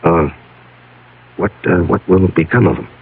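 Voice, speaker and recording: A man's voice: low voice, unhurried, slightly rough, exaggerated voice. An actor playing an evasive businessman in a 1970s radio drama, stammering and hedging under a genial surface. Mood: nervous, evasive. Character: uneasy, evasive businessman hiding his secret, genial on top. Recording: tinny, band-limited old radio broadcast.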